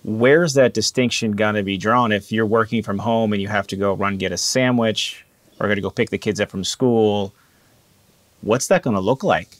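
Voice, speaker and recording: A man's voice: fairly low pitch, normal speed, no room sound. A man in his thirties hosting an interview podcast, asking probing questions in a conversational way. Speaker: podcast host.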